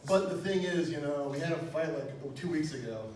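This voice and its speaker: Young man, stand-up comic, crude self-mocking jokes, slightly high voice, very echoey club, distant mic. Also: fairly quick, a little mellow. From Wisconsin. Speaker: stand-up comedian. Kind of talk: stand-up comedy set. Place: small club stage, echoey room. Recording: echoey room, distant mic, faint noise.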